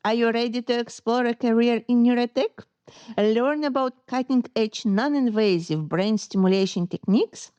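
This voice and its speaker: A woman hosting a podcast, reading a formal introduction and asking measured, polite questions. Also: fairly high pitch, mellow, no echo. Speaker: podcast host. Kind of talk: podcast interview and scripted intro.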